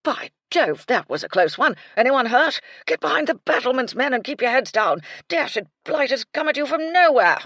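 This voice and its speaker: A woman's voice: fairly low pitch, sing-song, moderate pace, no echo, clean studio sound. A woman voicing a befuddled old retired army colonel, elderly and blustering in clipped, bumbling phrases. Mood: alarmed.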